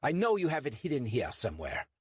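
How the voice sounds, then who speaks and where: A man's voice: mid-range voice, wildly swooping pitch, fast talker, slightly rough, no room sound, pitched above the performer's own voice. A man voicing a hostile German soldier with a German accent, harsh and accusing. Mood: demanding.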